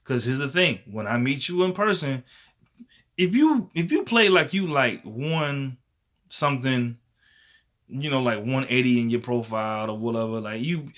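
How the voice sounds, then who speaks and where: A thirty-year-old man with a bright, forceful tone and an ordinary middle pitch. He rambles casually to the camera, swearing freely, using slang and leaning on "you know what I'm saying.". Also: expressive, normal speed. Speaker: vlogger.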